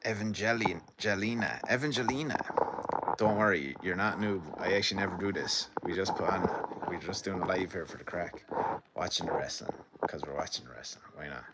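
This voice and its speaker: A man's voice: sits low, brisk, very noisy. An Irish man from the midlands hosting a rambling live stream from his sitting room, commenting on a match and chatting to viewers. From Ireland. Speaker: livestream host. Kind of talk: casual live commentary and chat.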